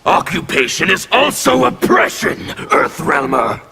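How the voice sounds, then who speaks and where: An actor playing a menacing male anarchist warrior who speaks with fervent zeal and bursts into shouts. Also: fairly high pitch, big pitch swings, unhurried, slight background noise, full character voice. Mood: scornful. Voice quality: gravelly, intimidating.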